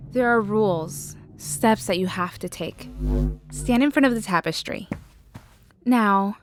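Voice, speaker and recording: Measured, mid-pitched instructing woman as acted. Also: over music, close to an everyday voice.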